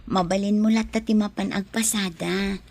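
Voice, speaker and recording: A woman's voice: mid-range voice, steady tone, normal speed, gravelly, a little mellow, a little hiss or hum, natural voice. A woman playing a tender, fatalistic pregnant young wife, plaintive and affectionate, in a radio drama. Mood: tender, playful.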